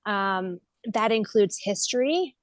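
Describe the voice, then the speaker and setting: A woman's voice: medium pitch, expressive, slow pace, dry recording, studio quality. An American woman speaking informally as a webinar guest, thoughtful, hesitant and self-effacing as she reflects. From United States. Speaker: guest speaker, nonprofit leader. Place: online video call.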